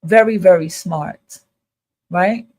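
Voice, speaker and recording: A woman's voice: fairly low pitch, slow, darkish tone. A woman host talking casually and candidly to her listeners in an emphatic, repetitive, motivational monologue, swearing freely. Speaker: host of a spiritual advice livestream.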